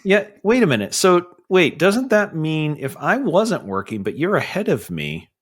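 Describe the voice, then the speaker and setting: A man's voice: on the high side, very animated, moderate pace, slightly rough. A man co-hosting a casual podcast, playful and goofy, laughing as he chats. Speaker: podcast co-host. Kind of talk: casual chat.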